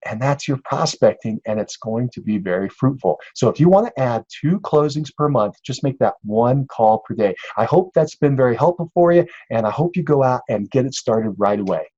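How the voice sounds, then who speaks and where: A man's voice: medium pitch, normal speed, mellow, no room sound, highs cut off. A man giving a casual, encouraging instructional talk as a sales coach, speaking conversationally and checking in with listeners. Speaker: real estate sales coach and podcast host.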